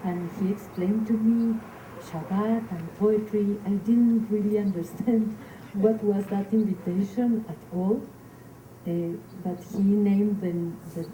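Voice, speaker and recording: Slow Mexican-accented woman, echoey hall. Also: medium pitch. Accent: Mexican Spanish. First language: Spanish. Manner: halting, many fillers and restarts. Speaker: invited poet. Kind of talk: speaking to a live gathering. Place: community hall. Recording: echoey hall, noisy room.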